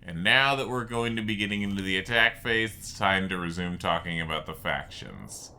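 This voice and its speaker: A man's voice: fairly low pitch, pushed and bright. A man giving unscripted game commentary, explaining things in a rambling way and circling back to restate his points. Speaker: gameplay video host.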